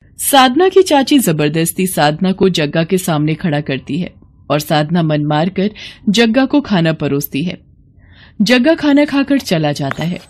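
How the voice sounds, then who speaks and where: A woman's voice: lowish voice, a little mellow. A woman narrating a scripted moral bedtime story as its storyteller.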